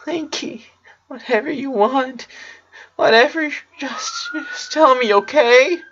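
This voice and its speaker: A man voicing a sobbing, pleading teenage girl from a cartoon fan story, halting and repeating words. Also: extremely high pitch, fairly bright tone, dull audio, slight background noise, voice pushed up, full character voice. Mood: tearful pleading.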